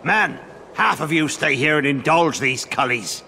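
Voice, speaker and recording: A cold, sardonic older pirate captain, gravelly and forceful. He draws out his archaic threats slowly, in video game drama style. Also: a little high, expressive, a little hiss or hum, exaggerated voice. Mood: cold menace. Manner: archaic, drawn-out pirate menace.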